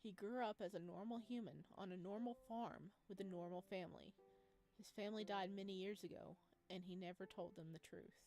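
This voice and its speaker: A woman hosting a playthrough of a visual novel, commenting in an informal, expressive, reactive way. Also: mid-range voice, a little fast. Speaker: gaming video host. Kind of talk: casual let's play commentary. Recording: music behind the voice. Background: game music.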